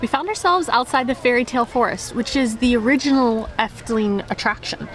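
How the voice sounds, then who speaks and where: A woman's voice: fairly high pitch, brisk, a bit bright, faint music behind, low-quality recording. A woman travel vlogger narrating and chatting in an informal, conversational way. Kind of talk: casual vlog narration and chat.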